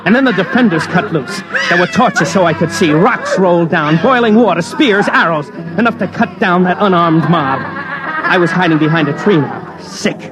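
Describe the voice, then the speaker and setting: A man's voice: sits high, moderate pace, lightly acted, rough recording. An actor playing a cynical, wary newsman who narrates a 1950s radio drama in a terse, hard-boiled way. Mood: tense, horrified. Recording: old radio recording with music underneath.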